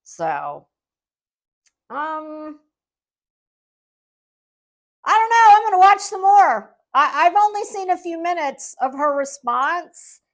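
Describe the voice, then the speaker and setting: A woman giving casual, off-the-cuff YouTube commentary in a sarcastic, condescending, halting and emphatic manner. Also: very high voice, big pitch swings.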